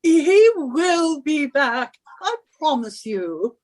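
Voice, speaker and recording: A woman's voice: high voice, big pitch swings, very slow, a little mellow, heavily acted. A woman playing an imperious, henpecking wife in a radio suspense drama, nagging and scolding with theatrical emphasis. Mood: smugly insistent. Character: bossy henpecking British wife, shrill and imperious.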